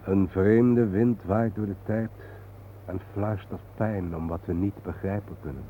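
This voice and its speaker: An actor playing a weary, haunted man who guides a companion, speaking gravely and reflectively in a radio drama. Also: on the low side, steady tone, measured pace, lightly acted. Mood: brooding, poetic. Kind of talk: radio drama acting, at times poetic. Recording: tinny narrow-band old radio recording, hissy.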